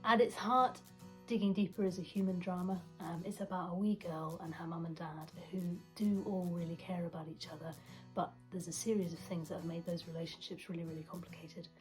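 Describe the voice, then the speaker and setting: A woman's voice: lowish voice, rough recording. A woman, a writer talking reflectively about her work. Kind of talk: reflective interview talk.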